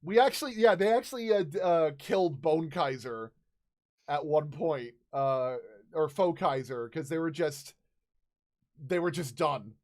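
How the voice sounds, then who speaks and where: A man in his thirties casually recounting tabletop game stories as a host, halting and searching for words. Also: a little high, darkish tone. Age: 30s.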